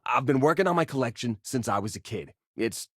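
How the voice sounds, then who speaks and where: A man's voice: medium pitch, animated, fairly quick, no echo, clean studio sound, lightly acted. A young man playing a friendly, easygoing space miner and crewmate in a video game, talking in a casual, folksy, earnest way. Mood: friendly, chatty. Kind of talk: video game character dialogue and combat barks.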